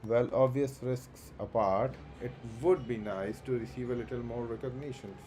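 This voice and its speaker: Man reading game dialogue over noise. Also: mid-range voice. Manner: reads slowly and plainly with stumbles and restarts. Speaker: streamer. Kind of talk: casual game stream, reading dialogue aloud.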